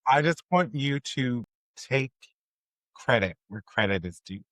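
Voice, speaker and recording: A man's voice: mid-range voice, animated, unhurried, a bit bright, no room sound, low-quality recording. Earnest male interviewer, dry close sound. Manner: earnest, encouraging. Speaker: podcast host. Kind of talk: conversational interview.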